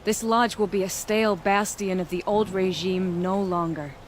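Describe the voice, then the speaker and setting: An actress playing a proud, determined young woman hunter and leader in a video game, speaking in measured, formal phrases. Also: medium pitch, steady tone, a little hiss or hum, lightly acted. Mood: resolute. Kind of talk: dramatic video game acting.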